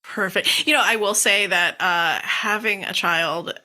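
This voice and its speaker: A middle-aged woman with a bright, crisp-edged voice at a medium female pitch. She hosts in a casual, agreeable and chatty way, as in a relaxed conversation between writers. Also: a little slow. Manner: chatty, agreeable, laughs easily. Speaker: podcast host. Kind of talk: casual interview chat.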